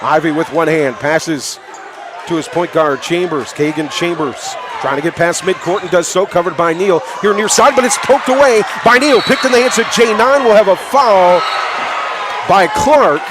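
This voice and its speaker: High-voiced male basketball play-by-play announcer. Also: normal speed. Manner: excitable, raising voice on big plays. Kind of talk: live radio sports commentary in clipped phrases. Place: indoor high school gymnasium. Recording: live from noisy gym, crowd behind. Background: crowd and bouncing basketball.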